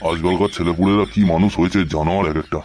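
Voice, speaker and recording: A man's voice: sits low, steady tone, racing, darkish tone, slight background noise, natural voice. A man voicing a nosy, moralising older uncle in a comic cartoon. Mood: curious, disapproving.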